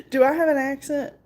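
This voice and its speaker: Slow-talking Southern woman chatting to viewers. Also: high voice, a little mellow. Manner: rambling, filler-heavy, chatty. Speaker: livestream host. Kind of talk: casual chat with viewers.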